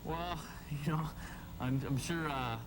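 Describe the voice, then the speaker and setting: A man's voice: on the high side, takes it slowly, poor audio. A man from Ontario, Canada, a hockey captain giving casual, modest interview answers with hesitant repeats. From Timmins, Ontario, Canada.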